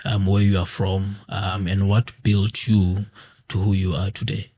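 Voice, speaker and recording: A man hosting a podcast interview, putting slow, measured questions with many ums. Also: sits low, little pitch movement, mellow, slightly rough. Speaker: podcast host.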